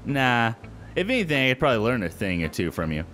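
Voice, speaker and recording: Animated man doing let's-play commentary. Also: mid-range voice, fast, slight background noise. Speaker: gaming video host. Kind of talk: casual let's-play commentary and dialogue reading. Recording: game music under the voice. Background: game music.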